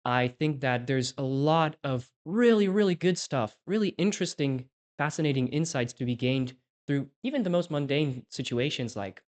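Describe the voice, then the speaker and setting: A man's voice: medium pitch, a little mellow. Reflective young man from Poland. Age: 20s. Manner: reflective, thinking aloud with many restarts. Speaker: podcast co-host, interviewee. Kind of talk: casual podcast conversation.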